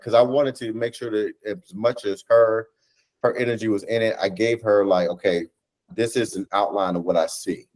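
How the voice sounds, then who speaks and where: An American man in his thirties or forties talking conversationally as an interview guest, animated and often rephrasing as he goes. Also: fairly low pitch, poor audio. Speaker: theatre director and writer, interview guest. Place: indoors, on a video call.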